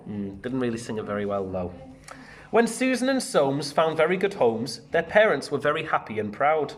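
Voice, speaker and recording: A man, a primary teacher, reading a storybook aloud in an expressive sing-song way with chatty asides. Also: mid-range voice, moderate pace. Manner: animated, sing-song rhyming read-aloud.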